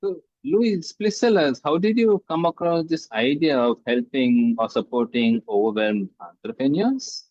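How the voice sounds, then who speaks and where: A man's voice: fairly high pitch, darkish tone, dry recording. Man hosting an interview, dry dull sound. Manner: halting, repeats phrases. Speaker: interview host. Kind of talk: casual interview.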